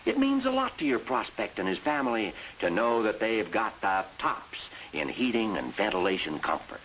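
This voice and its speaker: An actor playing a bossy, emphatic sales mentor who narrates and coaches in a 1950s industrial training film. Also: male voice, fairly low pitch, big pitch swings, normal speed, fairly bright tone, slight background noise, rough recording. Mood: enthusiastic instruction. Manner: instructive, emphatic, salesmanlike delivery. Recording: vintage 1950s film soundtrack with music.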